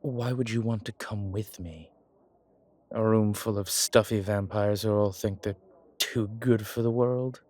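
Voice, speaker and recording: A man playing an ancient vampire commander in an intimate audio roleplay, speaking with formal, old-fashioned diction and a measured, haughty manner. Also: lowish voice, fairly even intonation, darkish tone. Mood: surprised, puzzled. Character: ancient male vampire commander, haughty yet tender to his companion. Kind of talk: intimate audio roleplay acting, one side of a conversation.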